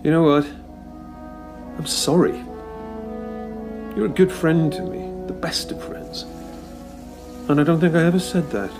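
An actor playing a wry, reflective time-travelling hero in an audio drama, speaking conversationally and articulately. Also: male voice, on the high side, measured pace, long pauses, music in the background. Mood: apologetic, warm.

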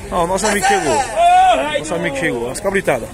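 Man shouting prices at a busy animal market. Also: high-pitched, huge pitch swings, rapid-fire. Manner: calls out prices loudly to viewers. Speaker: vlogger touring an animal market. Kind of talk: walking vlog commentary. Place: outdoor livestock market. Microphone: handheld phone camera. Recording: loud crowd and bleating animals behind.

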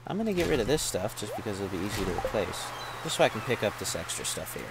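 A man's voice: lowish voice, big pitch swings, quick pace, heavy background noise. A man casually commenting while playing a farming game, laid-back and rambling, often calling people "man". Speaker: gameplay streamer. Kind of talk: casual let's-play commentary.

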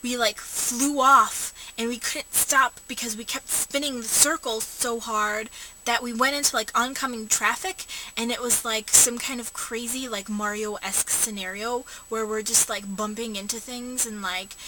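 A young woman rambling and joking about herself in a casual vlog, recorded from a parked car on a camera held low at arm's length. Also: fairly even intonation, measured pace. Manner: chatty, self-mocking, many fillers. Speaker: vlogger. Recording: noisy home camera recording.

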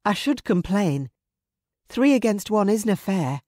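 A protective woman as acted by an audiobook narrator, her voice lifted high. She speaks earnestly in old-fashioned dialect, like a mother in a historical romance, recorded close and dry. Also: sing-song, a little slow, dark tone, no room sound. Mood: grumbling. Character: anxious Scottish Highland woman, protective mother.

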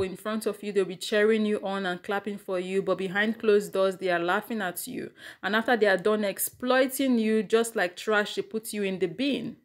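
Firm woman in her thirties commenting. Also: medium pitch. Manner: earnest, firm. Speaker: commentary creator. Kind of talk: reaction commentary to camera. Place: indoors at home. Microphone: phone camera close up.

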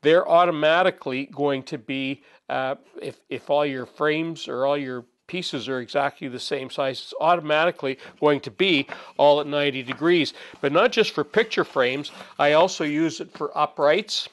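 Older man, 60s, woodworking host, chatty and relaxed, slightly high voice, chuckles as he talks, home workshop. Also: moderate pace, hardly any pauses. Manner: chatty, relaxed, chuckles often while explaining. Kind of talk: casual workshop demonstration. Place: home woodworking shop, indoors.

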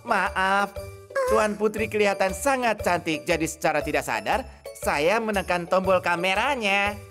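An excitable cartoon parrot father, played by a man, talks like a born salesman. He is eager and theatrical, flattering whoever listens, with music playing under his voice. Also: high-pitched, moderate pace, lightly acted. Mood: smooth flattery. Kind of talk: animated cartoon dub acting.

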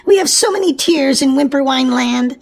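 A woman's voice: high voice, normal speed, gravelly. A woman voicing a strange, weepy little old goblin man who whines, in a storybook. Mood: weepy whining. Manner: whining.

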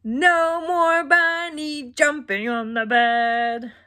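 A woman voicing a stern doctor cat from a picture book, giving loud, slow, emphatic orders. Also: high-pitched, steady tone, pitched above the performer's own voice, heavily acted.

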